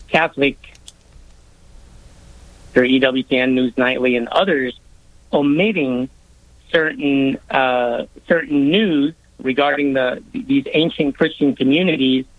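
A man asking an earnest question in a hesitant, halting way. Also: mid-range voice. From Lebanon. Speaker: audience caller asking a question. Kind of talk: earnest spoken question to a speaker. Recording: thin phone-like connection.

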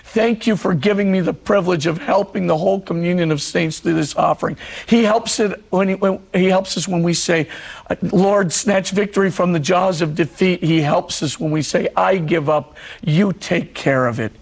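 A middle-aged man, a priest, giving a televised religious talk in an earnest storytelling way; his voice is husky and hoarse because he is losing it. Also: sits high, normal speed. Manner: earnest storytelling preacher, repeats phrases for emphasis.

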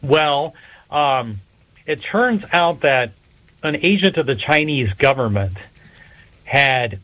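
A man, a political science professor, answering interview questions thoughtfully with frequent pauses. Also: mid-range voice, expressive, sharp tone, rough recording. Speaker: guest professor.

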